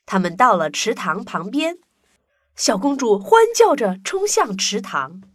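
A woman's voice: mid-range voice, sing-song, unhurried, background noise. A woman reading scripted narration for a children's story.

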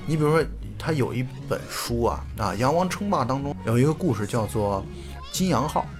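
Man, lively pitch, quick reflective chat, faint music behind. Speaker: podcast co-host. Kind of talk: casual chat.

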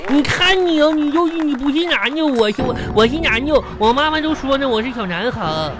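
A woman's voice: sits high, animated, a little fast, over music, a crowd in the background. A woman playing a simple little schoolboy who protests in a childish, stammering way in a comedy radio play. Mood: naive, protesting. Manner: childish, stammering, repeats himself.

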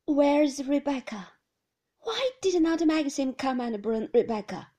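A woman's voice: high voice, expressive, measured pace, mellow, pitched above the performer's own voice. A woman voicing a frail, confused old grandmother with a thin, querulous voice who keeps asking the same things. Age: older. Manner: plaintive, repeating herself.

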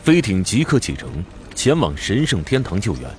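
A man's voice: lowish voice, wildly swooping pitch, moderate pace, noisy background. A man announcing and narrating a radio drama in a scripted, dramatic trailer style. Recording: music behind the voice.

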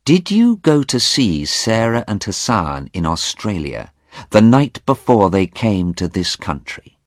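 A man's voice: on the low side, big pitch swings, unhurried, dry recording, studio quality, pitched above the performer's own voice. A man reading aloud voices a determined woman pressing a young man with urgent questions. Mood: probing. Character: determined middle-aged woman, urgent and firm.

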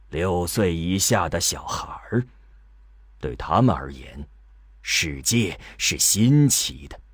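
An old scholar in a radio drama played by a man, with an extremely deep, husky voice. He lectures patiently and slowly, with halting phrases and wide swings of intonation. The recording is close and dry. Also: no room sound, exaggerated voice. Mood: patient, grave.

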